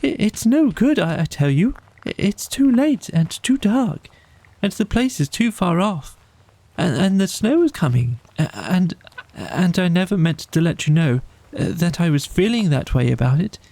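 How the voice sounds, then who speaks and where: A man performs an anxious male mole from a cosy storybook. The voice sits high and flustered, stammering through tearful pleas and apologies, recorded close and dry. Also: very animated, moderate pace, vocal fry, a little mellow, no room sound, heavily acted. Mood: flustered pleading.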